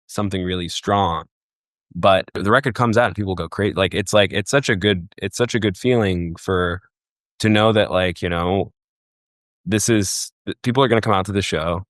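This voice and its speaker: A young man from Egypt with a very low voice talks casually on a podcast, circling his thoughts with lots of fillers and restarts. The sound is dry and close, with no room around it. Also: no room sound. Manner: rambling, many fillers and restarts. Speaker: guest, festival booker.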